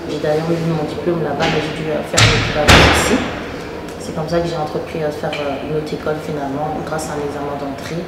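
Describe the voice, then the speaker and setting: A woman from Belgium answering interview questions in a steady, explanatory way. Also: low-pitched, fast talker, heavy background noise. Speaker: guest. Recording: echoey, distant, crowd behind.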